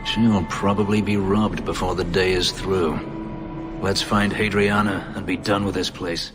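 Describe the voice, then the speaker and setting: A man's voice: deep voice, little pitch movement, measured pace, over music. An actor playing a bitter, brooding male elf who escaped slavery, speaking grimly and formally in a video game. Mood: stern. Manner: grim, terse, formal phrasing.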